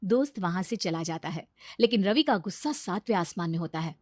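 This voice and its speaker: A woman's voice: lowish voice, expressive, brisk, no room sound, clean studio sound. A woman narrating a scripted moral story as the storyteller.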